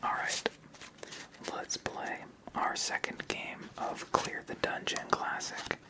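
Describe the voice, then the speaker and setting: Whispering ASMR game host. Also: slow. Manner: whispering throughout. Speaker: host of a tabletop card game channel. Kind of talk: whispered game play-through commentary.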